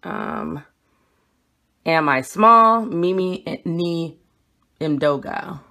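Blunt, emphatic woman with a low voice. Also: lively intonation, gravelly, sharp tone. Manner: emphatic, blunt and quick-talking. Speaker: vlogger. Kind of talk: casual home vlog showing books. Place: small room at home, indoors. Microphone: phone camera held at arm's length.

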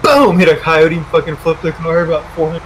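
A man's voice: fairly high pitch, brisk, rough recording. A man chatting casually as a fellow player on game voice chat, slangy and sweary. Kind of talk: casual gamer banter. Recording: clear engine noise behind. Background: game vehicle noise.

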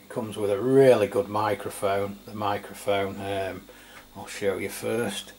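A man's voice: on the low side, measured pace. A middle-aged man from England giving an unscripted, casual product review in a hesitant, matter-of-fact way. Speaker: radio reviewer. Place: indoors at a tabletop. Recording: clear steady background noise, room ambience.

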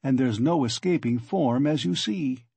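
A man's voice: medium pitch, measured pace, warm tone, slightly rough, no room sound. A man voicing a sly middle-aged police investigator in an audiobook, mock-genial, needling and condescending. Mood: mock-genial, patronising. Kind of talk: audiobook character dialogue.

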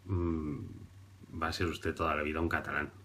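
A man in his thirties from Barcelona, commenting on video clips in a dry, ironic way, with hesitant fillers and pauses, recorded on a webcam indoors. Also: a bass voice, level tone, bright, forceful tone, slight background noise. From Barcelona, Spain. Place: indoor room before a printed backdrop.

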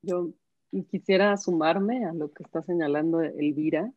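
A woman's voice: low voice, moderate pace, dry recording. A woman panelist speaking on a video call from a home living room, joining in on the discussion. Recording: dull muffled video call audio.

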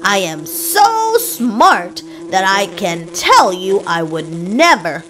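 A woman voicing an earnest, boastful pet dog in a children's picture book, with emphatic, drawn-out delivery. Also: medium pitch, sing-song, a bit bright, a little hiss or hum, low-quality recording.

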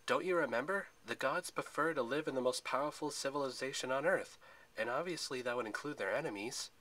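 A man voicing a fierce, know-it-all teenage girl demigod in a storybook, explaining things forcefully. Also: medium pitch, a little fast, poor audio.

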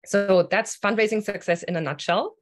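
Webinar host, woman, middle pitch, casual and filler-prone, video call. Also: normal speed. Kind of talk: webinar hosting.

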